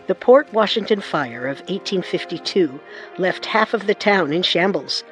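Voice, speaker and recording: A man narrating a true-crime radio drama in a measured, dramatic scripted style. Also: sits high, expressive, a bit bright, soft background music.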